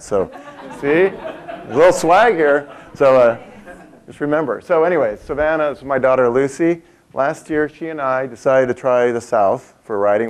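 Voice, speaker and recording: Chatty man giving a conference keynote. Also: mid-range voice. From Montana. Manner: chatty, asks the audience questions, laughs at his own stories. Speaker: keynote speaker. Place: large conference room with a seated audience.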